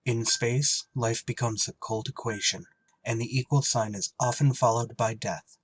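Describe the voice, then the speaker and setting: A man's voice: medium pitch, fairly even intonation, moderate pace, lightly acted. A man acting a wry, duty-bound shuttle pilot in a scripted retro radio drama. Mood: grave narration. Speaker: actor and first-person narrator in an audio drama. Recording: clear background noise.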